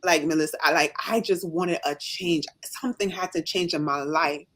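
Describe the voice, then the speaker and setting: A woman with a slight Jamaican accent, talking fast and with emphasis as she tells her own story in a casual live chat. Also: sits low, fairly bright tone. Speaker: nurse and business owner, guest.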